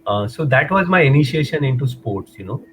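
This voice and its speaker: A man's voice: medium pitch, animated, fairly quick, a bit bright, slight background noise. A man in his forties from Mumbai with an Indian English accent, chatting as an interview guest over a video call, hesitant and full of fillers, slipping into Hindi. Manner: hesitant, many fillers, rambling. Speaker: guest, runner and motivator. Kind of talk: casual interview chat, mixing in Hindi.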